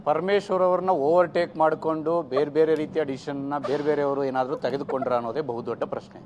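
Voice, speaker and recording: Wordy male Kannada reporter, highish voice. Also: darkish tone, slight background noise. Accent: Kannada, Karnataka. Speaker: news reporter. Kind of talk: live report to the anchor.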